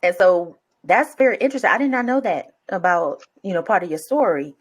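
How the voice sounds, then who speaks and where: Low-voiced woman hosting a chatty podcast. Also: lively intonation, fairly quick, husky. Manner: chatty, backchannels often, laughs. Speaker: podcast host. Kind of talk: casual interview conversation.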